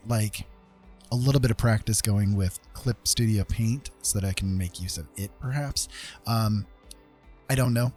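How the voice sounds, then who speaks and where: Middle-aged male art streamer, lowish pitch, informal and unsure of himself, talking viewers through his process over faint music. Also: a little mellow. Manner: self-deprecating, hesitant, informal. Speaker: livestreaming digital artist. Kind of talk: casual livestream tutorial chat.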